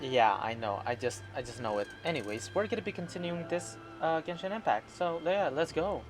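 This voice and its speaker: A man chatting casually and haltingly as he streams a video game, rambling between remarks. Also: on the high side, expressive. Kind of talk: casual livestream chat over a game. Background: game music.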